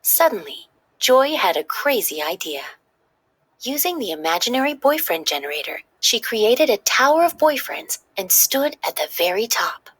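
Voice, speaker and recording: A woman narrating a children's story in an expressive, dramatic read-aloud style. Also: on the high side, normal speed, slightly rough.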